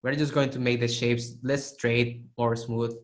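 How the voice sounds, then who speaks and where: A man teaching a live online art webinar, hoarse and losing his voice, who keeps clearing his throat and gives casual, encouraging feedback with frequent restarts. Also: medium pitch, flat delivery, measured pace. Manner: casual, encouraging, restarts phrases while demonstrating. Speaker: art instructor giving feedback. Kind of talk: live webinar critique of 3D sculpts.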